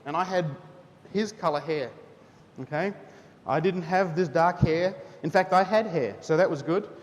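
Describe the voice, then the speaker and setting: A man, a teacher speaking at a school chapel in a chatty manner, often asking "okay?". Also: moderate pace. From New Zealand. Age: 30s. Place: school hall. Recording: hall reverb, faint rumble.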